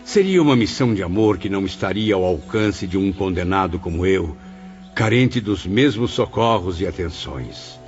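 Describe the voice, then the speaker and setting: A man acts out a humble, grieving male spirit seeking atonement in an audiobook drama. The voice is on the low side and speaks formally, with earnest feeling. The sound is slightly dull, with soft music underneath. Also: lively intonation, normal speed. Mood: sorrowful. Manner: formal, emotive, earnest delivery.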